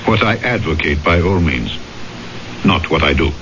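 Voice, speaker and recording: An actor playing a thoughtful French village magistrate who tells his story with deliberate, polished expression in a radio drama. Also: sits low, animated, a bit bright, slight background noise. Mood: civil, wry. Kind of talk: radio drama narration and dialogue, 1970s. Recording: muffled old radio broadcast with music.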